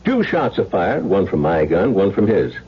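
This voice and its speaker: An actor playing a measured, folksy small-town sheriff and old family friend in a 1970s radio drama. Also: male voice, sits low, very animated, slightly rough, heavily acted. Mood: measured, reasoning. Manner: folksy, deliberate, reasoning aloud. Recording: dull lo-fi old radio broadcast.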